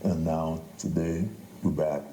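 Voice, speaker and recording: An older man, a playwright talking reflectively and haltingly as an interview guest. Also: very deep voice, little pitch movement, warm tone. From New Orleans, United States. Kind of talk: conversational interview. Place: echoey indoor theater. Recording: echoey room, faint background noise.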